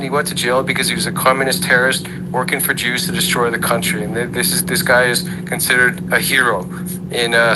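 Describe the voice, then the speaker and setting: Sarcastic man ranting over a hum. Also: mid-range voice. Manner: sarcastic, rhetorical questions. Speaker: host of an audio chat room. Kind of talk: ranting monologue. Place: online audio room.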